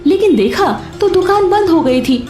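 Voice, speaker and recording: High, expressive woman storyteller with echo. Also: normal speed. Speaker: narrator. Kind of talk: scripted storytelling narration. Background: soft instrumental music with sitar.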